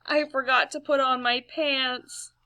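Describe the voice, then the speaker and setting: A woman's voice: sits high, steady tone, measured pace, sharp tone, heavily acted. A woman voicing an eager, forgetful young male frog with a childlike, exclaiming manner in a storybook. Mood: sheepish.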